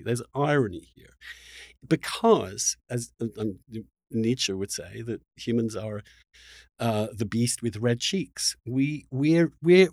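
A middle-aged man hosting a casual, spirited discussion, speaking haltingly as he thinks aloud. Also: medium pitch, no echo, studio quality. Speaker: podcast host.

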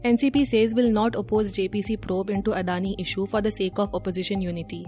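A woman's voice: mid-range voice, fairly even intonation, quick pace, warm tone, soft background music. A woman with an Indian accent presenting a scripted news bulletin as a newsreader.

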